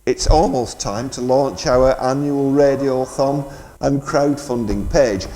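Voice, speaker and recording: A man's voice: mid-range voice, takes it slowly, warm tone, a little hiss or hum, low-quality recording. A man presenting live community radio, making a fundraising announcement in an informal, hesitant, bantering way.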